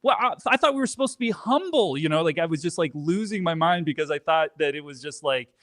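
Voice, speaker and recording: A man speaks as an interview guest, sharing personal stories in a casual, conversational style. His delivery is animated and emphatic, often cutting into his own sentences. The sound is dry, with very little room in it. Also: fairly high pitch, fast talker, no room sound. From Canada. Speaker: podcast guest.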